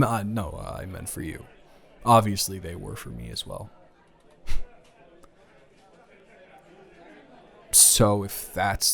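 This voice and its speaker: A man's voice: lowish voice, creaky. A man playing a confused, hesitant friend with benefits who stammers and hedges through an improvised intimate audio roleplay. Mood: coaxing, teasing. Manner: halting, stammering, hedging.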